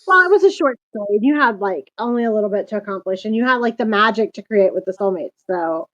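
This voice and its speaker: A woman's voice: mid-range voice, lively intonation, fast. A woman hosting a casual chat, talking in long rambling turns full of asides. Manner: chatty, rambling with many asides. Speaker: host. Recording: dull lo-fi sound.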